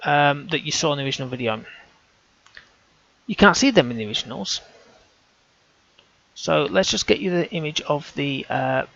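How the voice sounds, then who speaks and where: A man's voice: on the high side, expressive, normal speed, gravelly, long pauses, fairly bright tone, no room sound, highs cut off. A man talking through on-screen images, casual and hesitant, often restarting his sentences as he thinks aloud. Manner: hesitant, self-correcting, casual. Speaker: amateur researcher.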